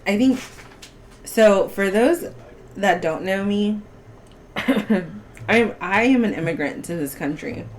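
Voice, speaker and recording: A young woman from Bolivia whose first language is Spanish, telling stories in an animated, casual way with a slight Spanish accent. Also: mid-range voice, slow, pauses often, slight background noise. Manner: animated storytelling, frequent restarts. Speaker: podcast guest. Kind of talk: casual chat.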